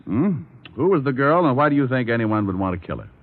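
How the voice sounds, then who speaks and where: An actor playing a breezy, wisecracking private detective who also narrates, in a glib 1940s radio acting style. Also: male voice, mid-range voice, very animated, fast talker. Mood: dry, businesslike. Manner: glib, teasing, flippant. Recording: muffled vintage 1940s radio recording.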